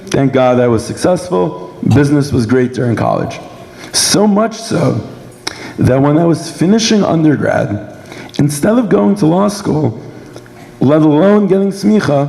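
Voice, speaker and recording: A young man from Brooklyn with a sore throat gives a measured, prepared personal speech at a dinner event. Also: a little high, lively intonation, gravelly, warm tone. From Brooklyn, New York, United States. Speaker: guest speaker giving a personal testimony. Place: large indoor event hall. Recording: echoey hall with long reverb.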